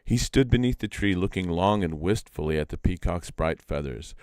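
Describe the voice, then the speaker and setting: A man reading a story aloud live as a storyteller, in a measured, deliberate and expressive way. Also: low voice, no echo, studio quality.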